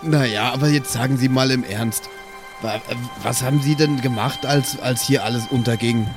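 A man improvises in character on a tabletop roleplay podcast. He questions in a probing, mock-serious manner at a medium pitch. Music plays clearly underneath. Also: moderate pace. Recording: clear music playing behind the voice.

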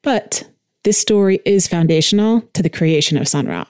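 Lady podcast host reading a documentary script, lowish voice, pretty dry sound. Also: lively intonation, moderate pace, a little mellow, no echo.

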